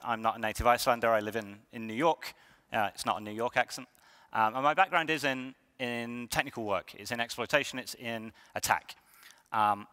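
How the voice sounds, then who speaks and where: A man's voice: mid-range voice, bright, forceful tone. A man giving a conversational conference talk, with frequent ums and restarts. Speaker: conference speaker. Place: lecture hall. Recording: reverberant hall.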